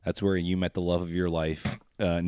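A man's voice: deep voice, little pitch movement, moderate pace, darkish tone, smooth, no echo, studio quality. A man co-hosting a podcast, chatting casually, teasing the guest and laughing often. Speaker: podcast co-host.

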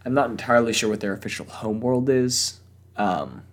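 A man's voice: medium pitch, a little fast. A young man hosting a casual solo podcast, his voice weak and strained from a cough, talking hesitantly with many fillers and restarts. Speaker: podcast host.

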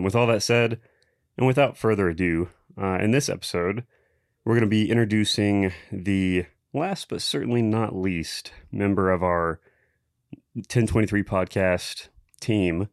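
A man hosting a casual podcast, talking in a relaxed, halting way with many pauses and ums. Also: fairly low pitch, dry recording, clean studio sound. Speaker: podcast host.

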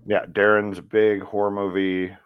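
A man who works as a voice actor, talking casually in an interview and telling stories in a relaxed, rambling way with fillers. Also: fairly low pitch, moderate pace, clean tone, no room sound, narrow, tinny audio. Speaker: voice actor guest.

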